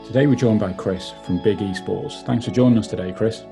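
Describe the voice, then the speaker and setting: A man with a Manx English accent hosting a business podcast, measured and polite, a little hesitant when asking questions. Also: on the low side, gravelly, over music. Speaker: podcast host.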